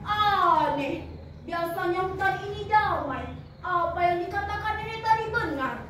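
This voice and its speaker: A girl playing a kind, mighty old grandfather from a folk tale, calm and gentle, in a high voice. It echoes strongly through a large hall, heard from afar over background noise. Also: unhurried. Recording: echoey hall, from far off, noisy.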